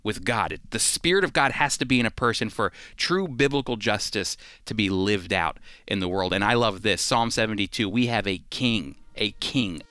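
Bright-voiced man teaching in a dry studio. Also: lowish voice, normal speed, hardly any pauses, smooth, no echo. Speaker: radio host and Bible teacher. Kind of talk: conversational Bible teaching.

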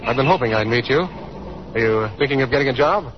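An actor playing a courteous, interested young gentleman suitor in a 1930s radio drama, speaking in a polite, easygoing way. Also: mid-range voice, lively intonation, a little fast, natural voice. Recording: dull, hissy 1930s radio recording.

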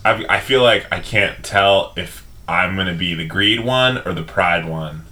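A man at a tabletop game talks in a casual drawl. His voice sits very low and moves slowly, with a bright, forward edge. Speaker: player. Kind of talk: casual chat.

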